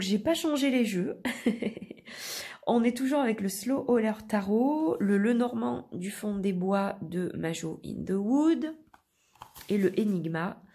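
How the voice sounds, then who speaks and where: A woman with a cold giving a casual, rambling tarot reading. Her nose is stuffed, so she sounds nasal, and her throat is hoarse. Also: medium pitch. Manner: rambling, relaxed, many fillers and self-corrections. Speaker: tarot card reader.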